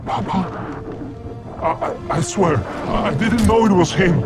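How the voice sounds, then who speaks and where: An actor playing an earnest, conflicted soldier who is weary of killing, speaking gravely in a video game drama. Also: male voice, high voice, lively intonation, slow, loud music behind the voice. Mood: anguished, defensive.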